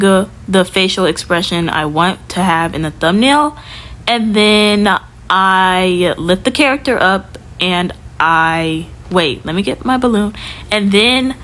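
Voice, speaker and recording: A woman's voice: mid-range voice, a bit bright. A young woman giving a casual, chatty how-to tutorial as a video creator, talking informally with lots of fillers. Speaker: YouTube creator.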